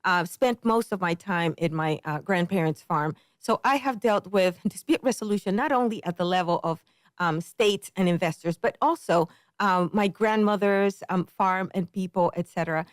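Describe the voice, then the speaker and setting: A woman's voice: fairly low pitch, normal speed, dry recording, clean studio sound. A middle-aged woman from the Dominican Republic, a candidate explaining her views in an interview, earnest and careful, with many ums. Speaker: political candidate, guest. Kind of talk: interview answers.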